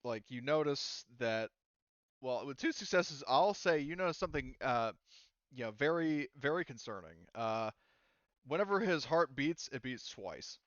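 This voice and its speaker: A man improvising narration as a game master, halting as he searches for words. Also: mid-range voice, expressive, a bit bright, dry recording, lo-fi sound.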